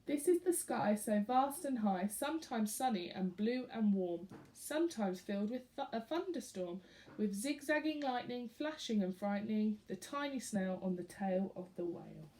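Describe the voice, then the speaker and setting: A woman reading a rhyming picture book aloud expressively to young children, pausing to put questions to them. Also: mid-range voice, expressive, dark tone, a little hiss or hum, poor audio.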